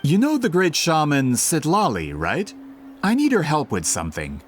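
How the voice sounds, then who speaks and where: A man's voice: on the high side, huge pitch swings, moderate pace, a little hiss or hum. An actor playing a polite, eager book merchant with a theatrical salesman's manner in a video game. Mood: persuasive.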